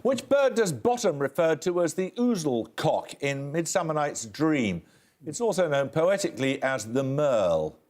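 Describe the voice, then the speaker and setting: A man hosting a quiz show, reading questions crisply and briskly in a studio. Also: fairly high pitch, sing-song, darkish tone. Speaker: quizmaster. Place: television studio.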